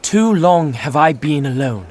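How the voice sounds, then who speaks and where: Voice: mid-range voice, animated, measured pace, darkish tone. An actor playing a goofy, theatrical young prince with a playful, grandiose manner in a romantic comedy audio drama. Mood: grandly romantic.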